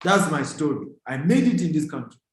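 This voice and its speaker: A man's voice: a little high, animated, a little fast. Nigerian motivational speaker, man in echoey room. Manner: emphatic, exhorting, repeats key phrases. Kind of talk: motivational seminar talk to an online audience.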